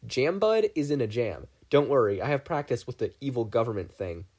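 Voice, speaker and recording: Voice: mid-range voice, lively intonation, brisk, a little mellow, slightly rough. A reader voicing an earnest, cheerful teenage boy who rambles eagerly.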